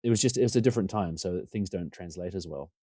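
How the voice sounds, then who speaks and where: A middle-aged New man talks fast and keeps rambling on, poking fun at himself. His voice sits low and mellow, with a dark, relaxed tone. It sounds dry and close, as on a remote call mic at home. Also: no room sound. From New Zealand. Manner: talkative, rambling, self-deprecating. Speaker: podcast guest. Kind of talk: casual conversation. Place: home room.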